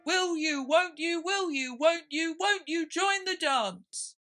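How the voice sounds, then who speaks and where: A woman voicing a mournful turtle creature who performs a slow, lilting verse from a storybook. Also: very high-pitched, animated, pushed and bright, soft background music, pitched above the performer's own voice, heavily acted. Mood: sad, lilting.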